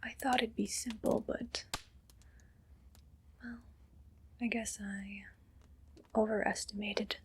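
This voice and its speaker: A woman voicing a haughty, cruel evil queen in an ASMR roleplay, whispering softly and condescendingly. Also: medium pitch, steady tone, a little hiss or hum, lightly acted. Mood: condescending, menacing. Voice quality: breathy whisper. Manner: slow, condescending, possessive.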